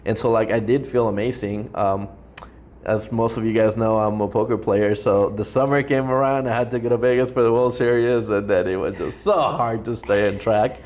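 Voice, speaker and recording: Rambling low-voiced man hosting a podcast. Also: fairly even intonation, moderate pace, darkish tone. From Ecuador. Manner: rambling, heavy use of "like" fillers. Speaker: podcast host. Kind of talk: casual interview chat.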